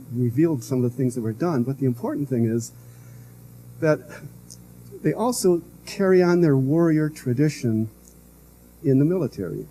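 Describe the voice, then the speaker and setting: Soft-spoken older man lecturing. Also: medium pitch, slight background noise, poor audio. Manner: soft-spoken, halting with many fillers. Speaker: guest lecturer. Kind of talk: public lecture. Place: lecture hall. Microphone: podium microphone.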